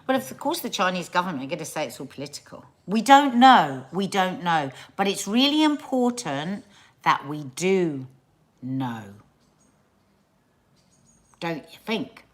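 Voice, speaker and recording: A woman's voice: lowish voice, very animated, a little slow. A middle-aged woman hosting a casual livestream, chatting with viewers, often trailing off and restarting her sentences. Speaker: livestream host.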